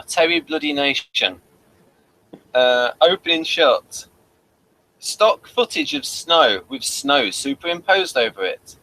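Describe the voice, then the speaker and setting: A man's voice: a little high, pushed and bright, rough recording. A man hosting a casual review, partly reading notes aloud with wry, dry humour. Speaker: review show host.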